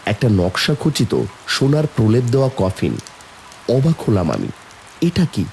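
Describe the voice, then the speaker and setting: A man's voice: mid-range voice, wildly swooping pitch, a little slow, creaky, a little mellow, a little hiss or hum, heavily acted. A man narrating a horror story in the first person as a somber young man, brooding and suspenseful. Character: somber young man recounting an eerie burial.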